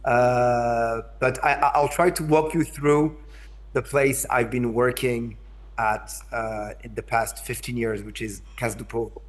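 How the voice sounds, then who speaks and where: A man's voice: mid-range voice, steady tone, unhurried. French-accented man on a video call. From France. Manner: hesitant, repeats words, checks in with listeners. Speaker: invited speaker, curator. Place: in São Paulo, remote.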